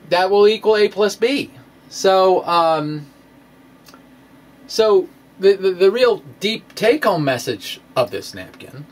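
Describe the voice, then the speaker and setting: Male presenter, slightly high voice, unhurried halting delivery, conversational science explainer. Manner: halting, conversational, thinking aloud. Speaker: presenter of a physics blog. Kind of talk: informal explanatory lecture, dramatic reading of a blog post.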